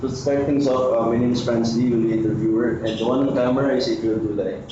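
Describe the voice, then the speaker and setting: A man's voice: medium pitch, fairly even intonation, fast talker. Man moderating a focus group. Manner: polite, uses fillers while posing questions. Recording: echoey room, distant mic, lo-fi, light noise.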